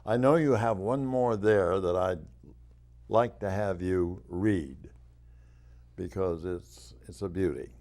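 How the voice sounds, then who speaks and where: A man hosting an interview in a very low, mellow voice. He speaks slowly and warmly urges his guest on. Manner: admiring and encouraging toward the guest. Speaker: host. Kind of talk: interview chat.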